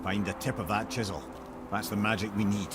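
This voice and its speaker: An actor playing a wise, wry old storyteller with a Scottish accent and a theatrical, lilting delivery in a video game. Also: male voice, lowish voice, fairly even intonation, fairly quick, loud music behind the voice, close to an everyday voice. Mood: amused.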